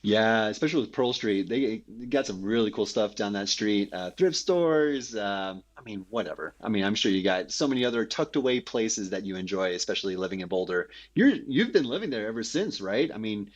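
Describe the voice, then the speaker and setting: A male podcast host who sounds keen and playful, his pitch jumping up and down a lot as he talks. The audio is dull and lo-fi. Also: fairly quick, few pauses. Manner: eager, animated, playful. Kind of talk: casual interview chat.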